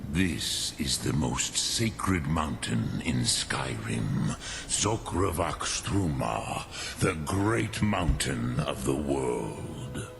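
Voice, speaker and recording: A man playing an ancient, wise male dragon in a fantasy game, speaking formally in a deliberate, musing and halting manner with a gravelly voice. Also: a bass voice, expressive, heavily acted. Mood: solemn, instructive. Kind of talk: fantasy game character dialogue.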